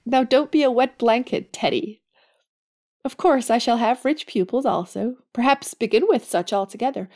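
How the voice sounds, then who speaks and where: An actress playing a warm, plucky, idealistic young woman in an audio drama, earnest and plain-spoken. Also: on the high side, normal speed, close to an everyday voice. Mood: excited, determined.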